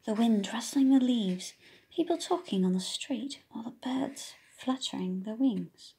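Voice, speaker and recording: Woman, children's audiobook reader, high breathy husky voice, unhurried, expressive, engaging teacherly asides. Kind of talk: expressive read-aloud storytelling with asides to young listeners.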